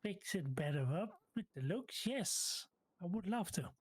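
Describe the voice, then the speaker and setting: A woman's voice: fairly low pitch, expressive, unhurried, a little mellow, slightly rough. Laid-back female bar customer, played by a woman in game roleplay: hesitant, casual, plain-spoken. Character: laid-back female tavern patron from out of town.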